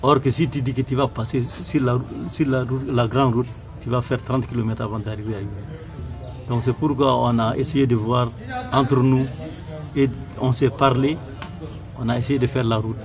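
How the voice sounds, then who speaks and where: A man's voice: medium pitch, fairly even intonation, moderate pace, over music. A plain-spoken man with a West African accent, giving a conversational answer in a documentary interview.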